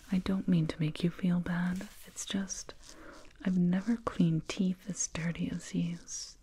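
A woman performing a whispered ASMR roleplay as a soothing, condescending alien dentist, breathy and close to a binaural microphone, repeating words rhythmically. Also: lowish voice, darkish tone. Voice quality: breathy, mostly unvoiced whisper. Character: soothing, condescending female alien dentist tending a human patient.

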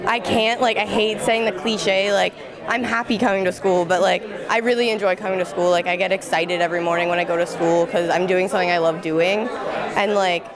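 A woman's voice: fairly low pitch, steady tone, fairly quick, over music. A young adult woman giving a casual interview in informal, youthful speech peppered with "like". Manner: heavy use of "like", informal youthful talk. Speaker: student alumni interviewee. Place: busy indoor school event room. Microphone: handheld interview microphone. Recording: busy crowd chatter behind.

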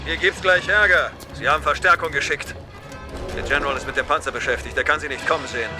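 An actor playing a brisk, loyal clone soldier with a confident, casual military manner. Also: male voice, a little high, music in the background, lightly acted. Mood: alert, wry.

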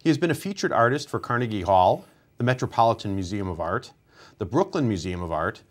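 A man's voice: fairly low pitch, very animated, a little fast. A middle-aged man hosting a college TV talk program, giving polished introductions and asking friendly questions in a studio on a lapel mic. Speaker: TV talk show host. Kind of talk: formal program host.

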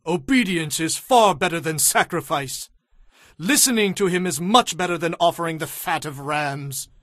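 Casting: righteous, stern prophet for a Bible audio drama, high male voice, commanding, declamatory, dry sound. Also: moderate pace, a bit bright, no echo. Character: stern, righteous Hebrew prophet rebuking a king.

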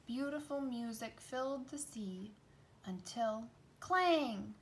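Slow-reading woman telling a children's story. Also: on the high side, animated. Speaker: read-aloud storyteller. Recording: noticeable background noise.

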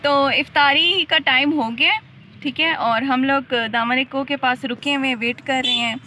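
A woman's voice: high voice, fairly even intonation, moderate pace. A woman in her thirties vlogging casually from the roadside and inside a car, filming herself on a phone held at arm's length. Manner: chatty, laughing often. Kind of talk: casual travel vlog narration. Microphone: phone camera at arm's length. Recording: loud traffic noise behind.

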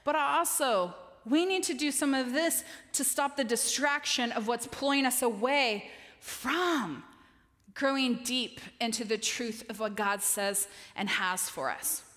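Animated woman speaking in a reverberant hall. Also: a little high, a little slow. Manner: animated, emphatic, talks with her hands. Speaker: chapel speaker. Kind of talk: chapel talk. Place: large stage in a dark auditorium. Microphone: headset mic. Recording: long reverb of a large hall.

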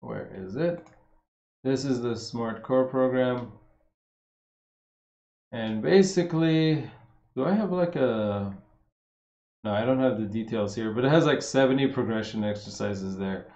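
A man's voice: mid-range voice, a little slow, pauses often, reverberant, lo-fi sound. Laid-back man, slight Armenian accent. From Los Angeles, USA. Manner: relaxed and rambling, with many fillers and swearing. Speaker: fitness coach answering live chat questions. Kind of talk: casual live-stream Q&A.

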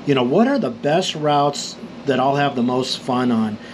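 A middle-aged man from New Mexico calmly explains road maps for motorcycle trip planning, rambling with fillers and restarts. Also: mid-range voice, moderate pace, a little hiss or hum, poor audio. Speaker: motorcycle vlogger.

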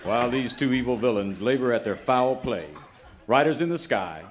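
A man's voice: mid-range voice, lively intonation, normal speed, natural voice. Man as a melodramatic, swooping serial announcer. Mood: grave, dramatic. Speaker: announcer, narrator. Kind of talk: mock old-time radio narration.

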